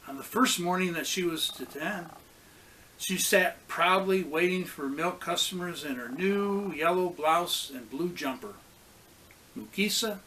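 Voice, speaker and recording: A man's voice: on the high side, bright, forceful tone, a little hiss or hum, rough recording. A man reading a storybook aloud to children as a pastor, expressively acting out the characters' lines.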